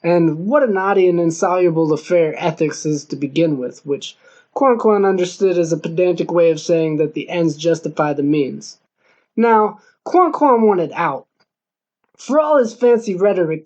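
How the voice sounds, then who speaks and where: A man's voice: high-pitched, darkish tone. Man narrating a novel, dull lo-fi sound. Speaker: audiobook narrator. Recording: dull lo-fi recording.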